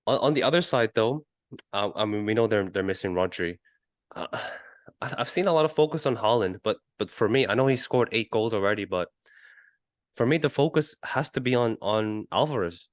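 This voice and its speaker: A man co-hosting a casual football podcast, reasoning in a measured, halting way. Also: on the low side, expressive, no room sound, studio quality. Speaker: podcast co-host.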